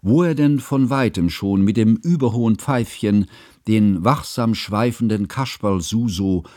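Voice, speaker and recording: A man playing a grave, scholarly friend who narrates a composer's tragic life in a radio play, with solemn, deliberate, ornate phrasing. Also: fairly low pitch, warm tone, no room sound, studio quality, close to an everyday voice. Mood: grave, doom-laden.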